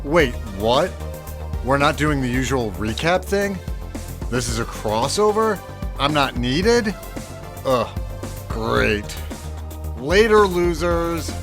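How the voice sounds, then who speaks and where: A man's voice: a little high, lively intonation, takes it slowly, pauses often, over music. A man playing a snarky, easily miffed recap narrator in a scripted audio drama. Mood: sulky. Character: snarky recap narrator, annoyed at being sidelined.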